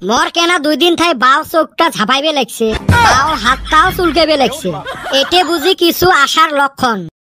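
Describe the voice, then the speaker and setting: A high-pitched, boastful cartoon villager. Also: expressive, unhurried. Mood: excited, scheming. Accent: northern Bengal rural dialect. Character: boastful village man dreaming of lottery riches, cartoon. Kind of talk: comic cartoon dialogue.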